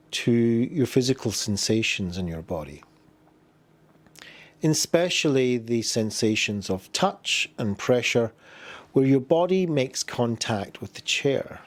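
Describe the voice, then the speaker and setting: Man guiding a slow body scan meditation. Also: mid-range voice. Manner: gentle, unhurried instruction with pauses. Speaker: meditation guide.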